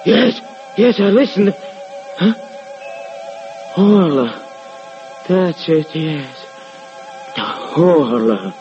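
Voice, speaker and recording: An actor playing a haunted, paranoid man sliding into madness, halting and repeating himself in old-time radio horror style. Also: fairly high pitch, animated, a little mellow, soft background music, full character voice. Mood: frenzied. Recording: hissy, muffled old radio recording.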